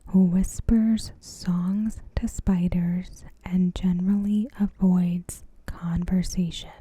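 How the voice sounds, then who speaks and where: Very slow, breathy whispering woman. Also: lowish voice, no echo. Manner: soft-spoken, slow, measured, pausing mid-sentence. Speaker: ASMR video creator. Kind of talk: ASMR, reading a TV episode recap.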